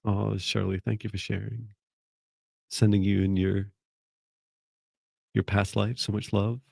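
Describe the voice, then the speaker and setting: Calm, low, gravelly-voiced man. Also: measured pace, dry recording. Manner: calm, soft-spoken, gentle; hesitant, with repeated restarts. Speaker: spiritual mentor. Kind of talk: live online class, reading viewer comments.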